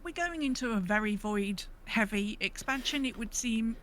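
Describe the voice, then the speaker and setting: A woman's voice: on the high side, expressive, moderate pace, fairly bright tone, a little hiss or hum. A woman chatting casually as a podcast co-host, rambling and thinking aloud. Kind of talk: casual gaming podcast chat.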